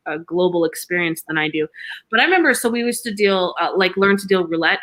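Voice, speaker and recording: A woman's voice: mid-range voice, bright, forceful tone, rough recording. A woman in her forties, a former poker dealer, talking reflectively in a casual podcast chat with many fillers.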